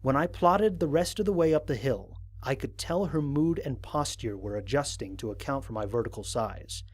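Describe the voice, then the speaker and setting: A man performs an analytical human explorer narrating a mission log for an audio drama. His pitch moves in lively rises and falls, while his wording stays formal and precise. He is measured and scientific, with a dry, wry streak. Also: natural voice. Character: analytical, dryly wry human explorer logging first contact.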